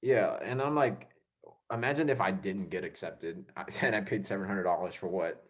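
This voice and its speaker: A man's voice: low-pitched, moderate pace. A young man born in Vietnam, hosting a casual podcast chat in an easygoing, self-mocking way. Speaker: podcast host.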